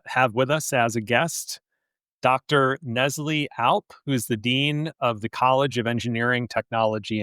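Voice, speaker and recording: Clear-voiced American man hosting, dry sound. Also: medium pitch, fairly bright tone, dry recording. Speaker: podcast host, chief executive. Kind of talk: conversational interviewing.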